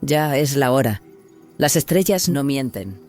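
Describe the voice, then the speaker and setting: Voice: medium pitch, expressive, unhurried, a little mellow, faint music behind, lightly acted. An actor playing a fervent, hard rebel leader in an audio drama, with an intense, declamatory delivery. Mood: urgent, resolute. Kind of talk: dramatised audio fiction dialogue in Spanish.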